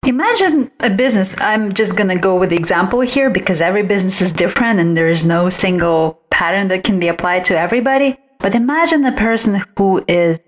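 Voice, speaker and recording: A woman's voice: medium pitch, a little fast, few pauses. Woman giving advice as interview guest. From originally from outside the United States. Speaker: guest, visual branding expert. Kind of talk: conversational interview, explaining advice.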